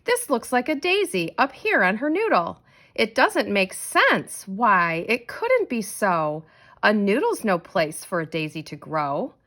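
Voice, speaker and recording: An excitable young schoolboy from a children's storybook, played by a woman reader. He is insistent and blurts things out. The pitch jumps around in a lively way, though the words come at a slow, deliberate pace. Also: heavily acted. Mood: puzzled.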